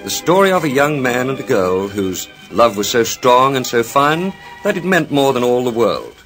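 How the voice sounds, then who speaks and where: A man's voice: medium pitch, big pitch swings, moderate pace, fairly bright tone. Dramatic male vintage radio serial announcer over music. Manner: dramatic, sentimental, formal delivery. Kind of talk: vintage radio soap opera narration.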